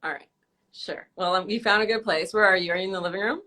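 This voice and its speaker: A woman's voice: mid-range voice, quick pace, pushed and bright. A young woman from Canada hosting a casual live-stream interview, teasing her guest and laughing often. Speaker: host.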